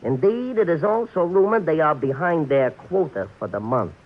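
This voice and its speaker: Guy playing a puffed-up racetrack bookie, fancy wordy talk, husky voice, pitch swoops all over, muffled old radio sound. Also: expressive, moderate pace. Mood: pompous. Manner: pompous, florid formal wording with bookie slang. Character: grandiloquent small-time racetrack bookie, adult man. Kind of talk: 1940s radio acting. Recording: muffled vintage radio broadcast.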